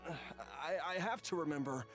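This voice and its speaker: A man's voice: on the high side, animated, a little slow, over music. An actor voicing a frail, desperate young man in a video game, earnest and pleading. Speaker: game character.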